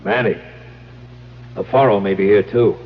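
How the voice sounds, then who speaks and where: An actor with an American accent playing an urgent, commanding police raid leader in a 1970s radio drama. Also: male voice, on the low side, moderate pace, lightly acted. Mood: tense. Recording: very noisy, dull 1970s radio broadcast.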